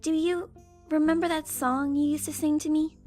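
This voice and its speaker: A man voicing a timid young filly who is scared of the dark, speaking in a small, pleading voice close to tears. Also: extremely high pitch, little pitch movement, normal speed, faint music behind, pitched above the performer's own voice. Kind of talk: fanfic audiobook reading.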